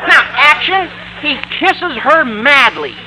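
An actor playing an eager, bossy teenage schoolboy in an old-time radio comedy, with a brash, squeaky adolescent delivery. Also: male voice, animated, takes it slowly, a loud crowd, exaggerated voice. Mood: bossy, directing. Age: teenage character. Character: eager, bossy high-school boy, excitable schemer. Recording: vintage radio recording with faint noise.

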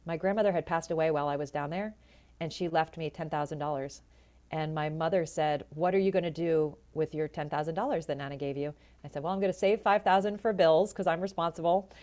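A woman in her forties with a low voice, telling her story in a chatty, animated way as an interview guest. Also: a little fast. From Florida, USA. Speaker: jazz vocalist and educator, guest.

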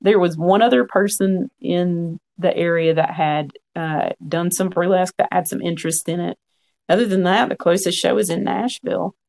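A man's voice: sits high, steady tone, moderate pace, gravelly, a little mellow. A man in his forties, a podcast guest, telling his story in a frank, earnest conversational way. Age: 40s. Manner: frank, earnest, quotes own past thoughts.

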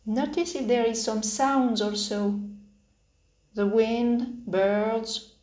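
A woman leading a guided meditation with spoken instructions in halting phrases, pausing often between them. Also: on the high side, steady tone, darkish tone. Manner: hesitant, halting phrases with long pauses. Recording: roomy, echoing sound.

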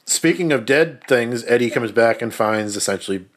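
A man's voice: mid-range voice, animated, normal speed. A man co-hosting a podcast, recapping a film in casual, joking, sweary talk. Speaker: podcast co-host.

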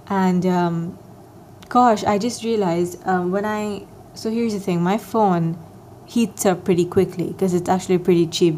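A young woman hosting her own podcast, chatting casually and rambling with lots of fillers. Her voice is soft and soothing, a little raspier than usual this morning. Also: on the low side, a little hiss or hum. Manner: rambling, filler-heavy, self-deprecating. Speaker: podcast host. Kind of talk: casual solo podcast chat.

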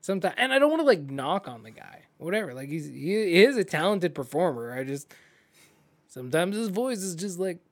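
Man, fairly high voice, animated casual chat, lots of "like", pitch jumps when excited. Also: brisk. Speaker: podcast co-host. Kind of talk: casual chat about films.

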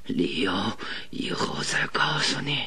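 An actor playing a gravely ill, stubborn detective in a radio drama, with a weak, breathy, halting voice. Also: male voice, on the high side, sing-song, bright, forceful tone, full character voice. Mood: weak, secretive. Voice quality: breathy, raspy, weak whisper. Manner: halting, laboured, pleading.

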